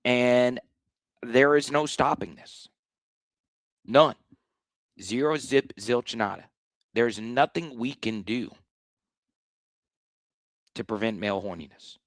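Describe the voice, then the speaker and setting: A man with a slightly hoarse voice hosting a live sports talk stream, blunt and animated, often restarting his sentences. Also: mid-range voice, slow pace, long pauses, no echo, clean studio sound. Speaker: sports show host.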